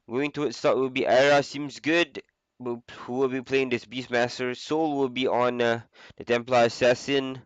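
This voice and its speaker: Young man, flu, casual game caster, smooth, dry, muffled sound. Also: medium pitch, fairly even intonation, dry recording. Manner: casual, easygoing, with filler pauses. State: sick with flu. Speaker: esports caster. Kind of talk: solo game commentary.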